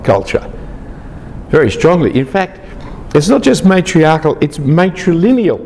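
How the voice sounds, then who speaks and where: A man's voice: fairly high pitch, darkish tone. Aussie guy lecturing a big class, jokey asides, long hall echo, bit of hiss. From Australia. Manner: chatty, teasing asides to the audience. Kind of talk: lecture to a live group.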